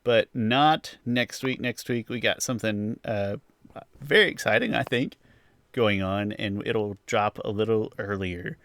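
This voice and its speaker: A man's voice: lowish voice, measured pace, fairly bright tone, no echo. A man hosting a podcast, chatting casually through a review in a rambling, hedging way. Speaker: podcast host.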